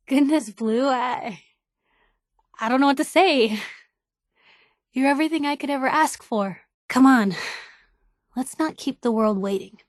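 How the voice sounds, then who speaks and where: A woman's voice: high voice, takes it slowly, long pauses, no room sound, studio quality. An actress with an American accent playing a feisty, nosy young woman reporter as a game companion, wry, snappy, informal and emotionally direct. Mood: warm, grateful. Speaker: video game companion character. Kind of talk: acted game dialogue.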